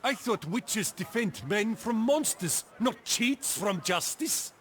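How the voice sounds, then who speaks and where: High-pitched, sneering male townsman. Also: animated, slow, fairly bright tone, heavily acted. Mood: scornful. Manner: scornful, confrontational. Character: indignant, sneering male townsman shopkeeper, accusing bully. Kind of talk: video game cutscene acting.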